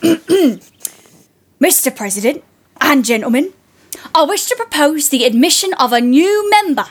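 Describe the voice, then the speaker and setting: A woman in an audio play, playing a spirited teenage tomboy who puts on a mock-formal gentleman's manner. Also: high voice, animated, a little slow. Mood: mock-formal, earnest. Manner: mock-formal, eager, theatrical.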